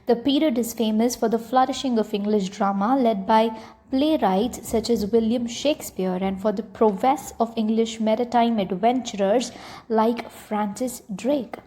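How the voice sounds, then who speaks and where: Woman narrating an educational film from a script, highish voice, really mellow and dark tone. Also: moderate pace.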